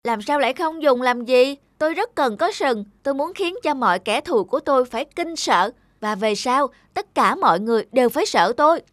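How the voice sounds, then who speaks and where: High-pitched pushy little cartoon rabbit. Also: female voice, lively intonation, brisk, few pauses, a bit bright, no echo, studio quality. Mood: boastful. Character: small boastful, envious, pushy cartoon rabbit. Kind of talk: children's cartoon dubbing. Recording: faint music behind the voice.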